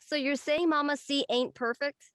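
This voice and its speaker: A woman's voice: high voice, steady tone, moderate pace, a bit bright. A woman playing a curious teenage friend, casual and questioning, in a drama read.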